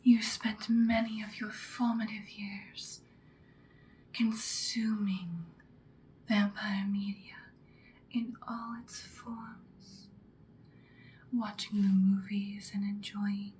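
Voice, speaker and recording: Lady doing a whispery vampire queen for asmr, super slow n soothing but predatory, bit of hiss behind. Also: mid-range voice, flat delivery, a little mellow, close to an everyday voice. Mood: intimate, coaxing. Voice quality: breathy whisper. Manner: slow, deliberate, hypnotic soothing. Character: seductive vampire queen, soothing and predatory, addressing her prey.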